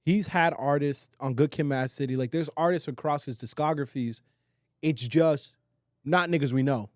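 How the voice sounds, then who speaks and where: A man's voice: a little high, moderate pace, no echo, studio quality. A man talking casually and with opinions as a podcast co-host, slangy and emphatic, swearing and repeating phrases for weight.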